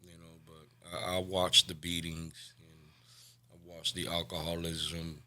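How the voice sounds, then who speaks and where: A man in his forties from Cuba, a guest on a recovery podcast, telling his life story candidly and often trailing off softly. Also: low voice, completely flat delivery, measured pace. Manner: candid, soft-spoken, trails off quietly.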